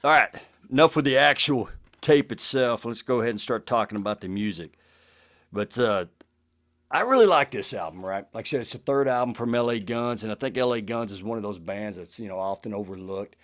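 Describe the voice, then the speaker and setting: A man's voice: fairly low pitch, fairly quick, fairly bright tone. A man talking casually to camera as the host of a collection show, in a laid-back, conversational way with "man" and "you know" sprinkled in.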